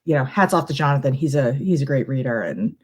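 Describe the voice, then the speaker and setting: Middle-aged woman, low-pitched, casual guest chat, reflective, long-winded. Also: animated, fast, darkish tone, slightly rough. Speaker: guest tarot reader bringing a question. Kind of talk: casual live stream chat.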